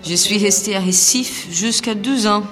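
Woman reading answers live on stage, calm and plain, big hall echo. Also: mid-range voice, measured pace, faint music behind. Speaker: reader performing an interview. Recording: long reverb from a live hall.

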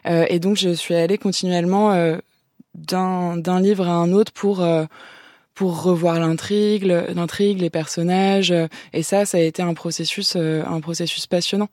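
A woman in her thirties, a novelist, answering interview questions softly with many pauses and restarts. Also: on the low side, fairly even intonation, dry recording, clean studio sound. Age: 30s. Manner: hesitant, many "euh" and restarts, soft-spoken. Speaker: novelist guest.

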